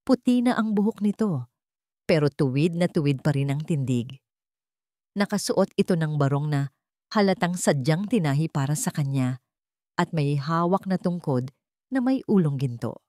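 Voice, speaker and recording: A woman's voice: low-pitched, expressive, moderate pace, pauses often, warm tone, no echo, clean studio sound. A woman narrating a scripted dramatic story as a storyteller.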